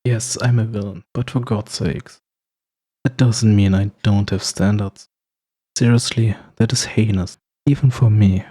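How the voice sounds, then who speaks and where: Husky, mellow, snarky male villain, acted by a man. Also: fairly low pitch, fairly even intonation, measured pace. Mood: earnest, indignant. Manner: sarcastic, playful, bantering, with chuckles. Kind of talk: ASMR roleplay monologue to the listener.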